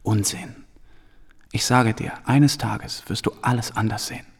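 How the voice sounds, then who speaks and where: An actor playing a war-weary returned soldier and teacher in a radio drama, sober, terse and earnest. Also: male voice, lowish voice, steady tone, a little slow, creaky, husky, whispered, exaggerated voice. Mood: earnest, concerned.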